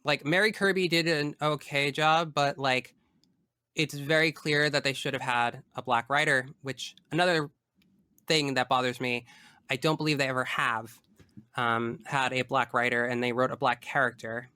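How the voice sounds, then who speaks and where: A man's voice: mid-range voice, fairly even intonation, moderate pace, pushed and bright, clear, resonant tone, no echo, studio quality. A man talking casually as a podcast guest about video games, opinionated and emphatic, often wandering off into asides.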